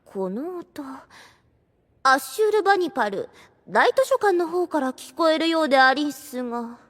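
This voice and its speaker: A woman's voice: high voice, lively intonation, normal speed, fairly bright tone. A woman playing a catty, vain young noblewoman who talks in affected archaic courtesan speech in an anime audio drama. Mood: curious, puzzled.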